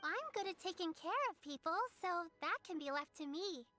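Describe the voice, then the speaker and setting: A woman's voice: extremely high pitch, expressive, normal speed, over music. A woman voicing a polite, caring little girl in an anime game, with a small, earnest, childlike voice. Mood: kind, helpful.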